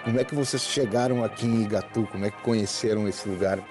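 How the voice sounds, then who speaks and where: A man's voice: on the low side, fairly even intonation, fast talker, music in the background. A man hosting a travel show outdoors, talking eagerly and casually with his guide. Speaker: show host. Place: outdoors at night near a cave.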